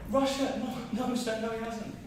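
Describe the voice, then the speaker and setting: An actor playing an anxious, defensive young man with an agitated, halting, repetitive way of talking in a drama. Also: high-pitched, fairly even intonation, darkish tone. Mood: subdued, deferential. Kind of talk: dramatic acting. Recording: very echoey, distant, faint noise.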